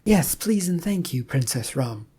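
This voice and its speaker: A narrator as a husky, polite woman guide. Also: mid-range voice, very animated, measured pace, dark tone, pitched above the performer's own voice, full character voice. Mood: courteous. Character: polite, plain-spoken woman guide, slightly prim.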